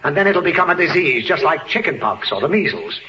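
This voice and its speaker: A man's voice: fairly high pitch, sing-song, fairly quick. A man playing an exasperated, easily riled father in a 1940s radio comedy, scolding with theatrical bluster. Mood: lecturing. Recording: muffled, hissy old radio broadcast.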